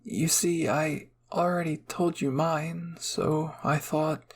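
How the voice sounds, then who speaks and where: A man voicing a kind, caring young woman soldier in a story roleplay, speaking gently and with sympathy. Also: fairly high pitch, fairly even intonation, slow pace, husky. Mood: friendly, curious. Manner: gentle, sympathetic, soft-spoken.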